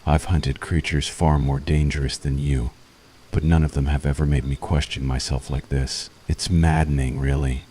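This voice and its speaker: A man playing a weary, conflicted witch hunter in an intimate ASMR roleplay, speaking softly and earnestly to the enemy he has grown tender toward. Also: a bass voice, little pitch movement, moderate pace, a little hiss or hum. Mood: conflicted, tense. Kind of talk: ASMR boyfriend roleplay, intimate scripted monologue.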